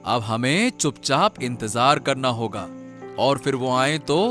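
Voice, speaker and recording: A man's voice: mid-range voice, slow, a bit bright. A man voicing a confident, reassuring court investigator in a cartoon story. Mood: plotting. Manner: reassuring, urging. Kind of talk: animated Hindi cartoon story acting. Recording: music under the voice.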